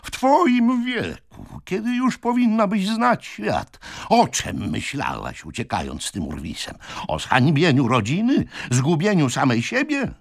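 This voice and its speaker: Furious, swooping old gentleman. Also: fairly high pitch, wildly swooping pitch, a little slow, gravelly, hardly any pauses, dry recording, clean studio sound, voice pushed up, full character voice. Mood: angry, scornful. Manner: shouting, sputtering, repeats himself in outrage. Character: furious, impetuous old gentleman, breathless with rage.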